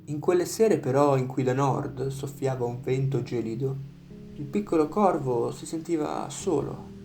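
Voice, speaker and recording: Creaky-voiced fairy tale storyteller over music. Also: mid-range voice, unhurried, mellow. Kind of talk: scripted fairy tale narration. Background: instrumental music.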